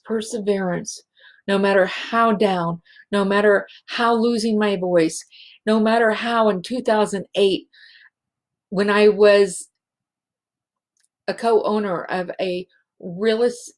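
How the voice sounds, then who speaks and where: A woman hosting a spiritual show, speaking in a halting, emotional way and close to tears. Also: medium pitch, a little mellow. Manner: slow, halting and emotional, many restarts. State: teary. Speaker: show host, healing facilitator. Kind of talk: heartfelt spiritual show monologue.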